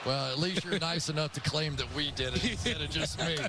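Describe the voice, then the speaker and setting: A man's voice: on the high side, a little fast, over a noisy crowd. A man giving conversational football analysis and telling anecdotes as a broadcast color commentator. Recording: noisy game broadcast audio.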